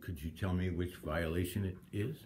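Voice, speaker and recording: A man's voice: low-pitched, fairly even intonation, moderate pace, darkish tone, slight background noise. A man speaking as a cited resident at a city hearing, challenging and aggrieved as he argues back. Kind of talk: argumentative exchange at a hearing.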